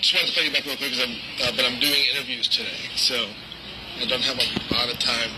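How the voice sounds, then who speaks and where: Man, average pitch, casual and businesslike, brief replies; very noisy, rough recording. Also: steady tone, fast. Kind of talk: casual practical chat.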